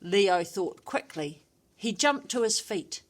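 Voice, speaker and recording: A woman's voice: mid-range voice, animated, takes it slowly. A woman storyteller reading a rhyming children's story expressively.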